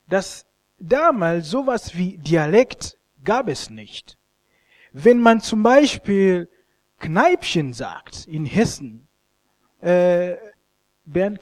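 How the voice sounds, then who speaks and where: A man, a Luganda speaker, preaching a sermon in an expressive, halting way and often stumbling over words. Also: sits high. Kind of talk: sermon with scripture reading and commentary.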